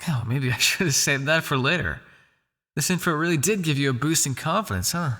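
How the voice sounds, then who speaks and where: A man's voice: a little high, animated, a little fast. A man playing a smug, possessive vampire crime lord in an ASMR roleplay, with a taunting, silky, condescending delivery. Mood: condescending, teasing. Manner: taunting, silky, condescending with sighs and soft shushing.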